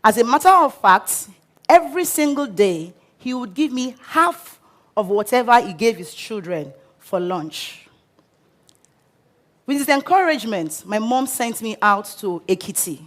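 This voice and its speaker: A woman with a Nigerian English accent tells her life story from a stage in an expressive, dramatic way. Her voice sits a little higher than most women's. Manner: expressive, dramatic, acts out quotes. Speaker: speaker. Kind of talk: inspirational storytelling talk. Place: auditorium stage.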